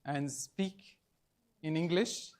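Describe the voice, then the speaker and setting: Slow high-voiced trainer. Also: male voice, a little mellow. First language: Arabic. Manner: deliberate, coaxing, quizzes the audience often. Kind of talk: live training workshop.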